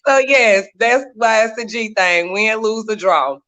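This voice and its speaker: Bright-voiced animated woman in her thirties. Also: fairly high pitch, low-quality recording. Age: 30s. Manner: animated, laughs often, churchy phrasing. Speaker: guest. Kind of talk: casual chat. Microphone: video call.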